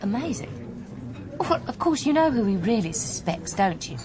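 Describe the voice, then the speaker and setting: A woman's voice: a little high, very animated, moderate pace, crowd noise. An actress playing a keen, confiding woman reasoning out a murder in a radio drama, insistent in manner. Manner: insistent, speculating. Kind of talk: British radio drama acting.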